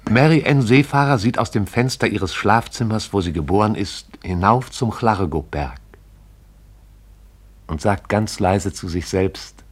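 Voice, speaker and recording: A man's voice: on the low side, lively intonation, unhurried, a little hiss or hum. A man narrating a radio play in a poetic, rhythmic recitation.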